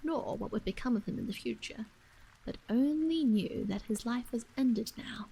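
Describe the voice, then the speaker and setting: A woman with a fairly high, breathy voice reads a bedtime story in ASMR style. She speaks softly and often slips toward a whisper. Her storytelling is gentle and hushed, with frequent pauses between phrases. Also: expressive, rough recording. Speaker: storyteller and streamer reading aloud. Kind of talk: bedtime story ASMR, live reading of an old novel.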